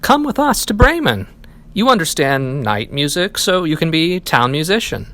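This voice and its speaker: A man's voice: fairly high pitch, sing-song, normal speed, fairly bright tone. A man voicing a big, friendly, confident donkey in a storybook, hearty and persuasive. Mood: hearty, inviting. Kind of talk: storybook character reading.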